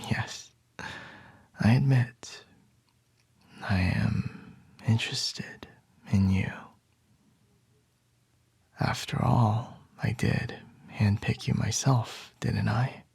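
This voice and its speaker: A man plays a boss in an intimate ASMR roleplay, his low voice rough with vocal fry and full of air. He speaks slowly and teasingly, much of it in a hushed whisper right up against the microphone, in a dry, close sound. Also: no room sound.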